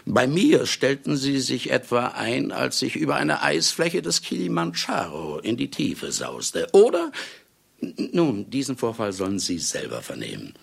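An older man, an actor in a German radio play, playing a vain, boozy, brusque writer with a gravelly, husky voice and a theatrical, self-mocking manner. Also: medium pitch, huge pitch swings, a little slow, full character voice. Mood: wry, self-amused. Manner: theatrical, self-ironic, blustering, chuckling asides.

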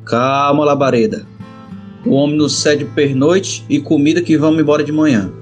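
A man voicing a level-headed, practical bandit from the backlands in an audio drama, steady and folksy. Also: fairly high pitch, normal speed, faint music behind, voice pushed up, natural voice. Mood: calming. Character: level-headed male bandit leading his wounded companion. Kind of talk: audio drama character acting.

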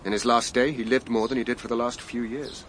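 An actor with a British accent playing an earnest, guilt-driven doctor turned investigator in a radio drama, speaking urgently and articulately. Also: male voice, mid-range voice, little pitch movement, fairly quick, fairly bright tone, close to an everyday voice, low-quality recording. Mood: defensive, insistent. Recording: faint background noise.

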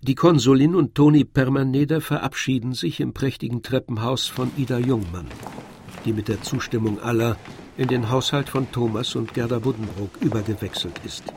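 A man's voice: medium pitch, normal speed, background noise. A man reading scripted literary narration as the narrator of a radio drama. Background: violin and other music.

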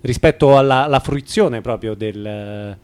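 Man, radio host, mid-range voice, informal, conversational, hesitant, measured phrasing. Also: mid-range voice, a little mellow, smooth.

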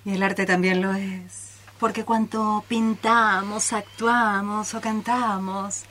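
A woman's voice: medium pitch, little pitch movement, slow pace, fairly bright tone, slight background noise. A woman playing a hopeful, nostalgic teacher in an amateur radio play. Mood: hopeful, earnest. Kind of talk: amateur radio theatre acting.

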